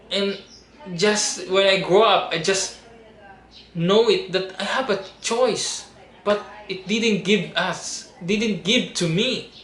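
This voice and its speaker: A man in his twenties with a Filipino accent, making an earnest, pleading personal appeal to camera. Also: sits high. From Philippines. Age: 20s. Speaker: reality show audition applicant. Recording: roomy echo with steady background noise.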